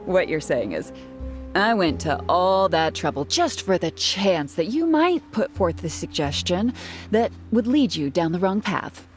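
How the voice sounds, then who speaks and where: A woman's voice: on the low side, sing-song, a little slow. A woman playing a sly, haughty suspect in a video game, taunting and condescending as she feigns innocence. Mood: guarded, indignant. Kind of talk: scripted video game dialogue. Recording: music behind the voice.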